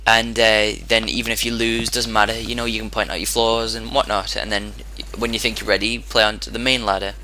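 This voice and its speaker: Man, hoarse sore throat, lowish and very sharp-edged tone, chatty gameplay commentator who rambles and self-corrects. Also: flat delivery, brisk, hardly any pauses, a little hiss or hum, poor audio. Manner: rambling, stops and restarts sentences. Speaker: gaming commentator.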